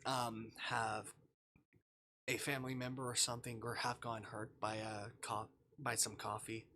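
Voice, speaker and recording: A man's voice: medium pitch, level tone, a little slow, sharp tone, a little hiss or hum. A man who streams games, reading a visual novel aloud in a dramatic narrating manner. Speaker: game streamer.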